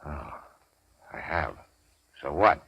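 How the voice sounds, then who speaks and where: A wounded gangster in a 1940s radio crime drama. The voice is low and raspy, recorded close and dry. The talk is terse, slangy and cynical. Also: male voice, animated, takes it slowly, fairly bright tone, dry recording, exaggerated voice, poor audio. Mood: sardonic.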